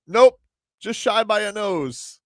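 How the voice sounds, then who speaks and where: A man's voice: high-pitched, takes it slowly, dry recording, studio quality. A man hosting a sports radio show, joking and telling stories in casual banter. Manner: joking, animated storytelling.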